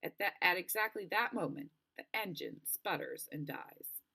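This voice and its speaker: A woman narrating a children's novel aloud in an expressive storybook style, acting out the dialogue. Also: mid-range voice, normal speed, breathy edge. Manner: animated, acts out dialogue.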